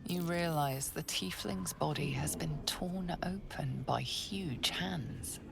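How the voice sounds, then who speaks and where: An actress as the solemn narrator of a fantasy video game, reading scripted second-person storytelling. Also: female voice, deep voice, slow pace, vocal fry, background noise, exaggerated voice. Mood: grave, ominous.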